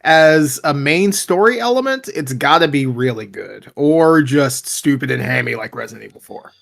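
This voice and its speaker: A man's voice: a little high, expressive, normal speed, creaky, a bit bright. A man in casual chat with friends, giving blunt opinions and swearing freely. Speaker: podcast co-host.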